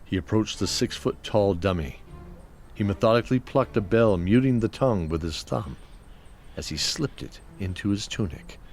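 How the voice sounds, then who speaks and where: A man's voice: lowish voice, expressive, moderate pace, a little mellow. A man giving scripted narration of a fantasy story as its narrator.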